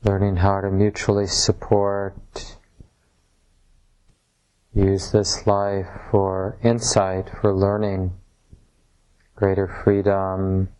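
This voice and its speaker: Slow, low-voiced man guiding meditation. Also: completely flat delivery, darkish tone, smooth, low-quality recording. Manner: measured, pausing between short phrases. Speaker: meditation teacher. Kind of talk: guided meditation, softly instructive. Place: room at a retreat center.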